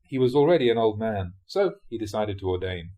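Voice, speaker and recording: A man reading Buddhist teaching stories aloud in the animated manner of a storyteller. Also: lowish voice, a little fast, noisy background. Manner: animated storyteller, acts out dialogue, often chuckles. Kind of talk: audiobook reading of dharma talk storytelling.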